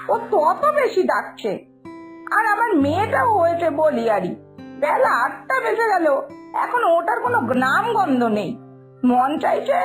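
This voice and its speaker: A woman plays an exasperated village mother from a cartoon fairytale. The voice is extremely high and shrill, swooping widely as she nags, scolds and wails. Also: a bit bright, heavily acted. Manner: shrill scolding, wailing complaints.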